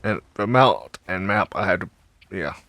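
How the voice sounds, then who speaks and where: A man's voice: low voice, expressive, a bit bright, no room sound. A man giving casual, hesitant let's play commentary and reading game dialogue aloud. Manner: hesitant, halting. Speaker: gaming video host.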